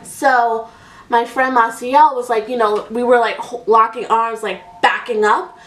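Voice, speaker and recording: A woman in her twenties chats away about her life while doing her makeup, her talk full of "like" and "um". Her voice sits a little high. It is picked up from a few feet off in an echoey room. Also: steady tone, a little slow, fairly bright tone. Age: 20s. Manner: chatty, rambling, many "like"s and fillers. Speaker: beauty vlogger. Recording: echoey room, voice a bit distant.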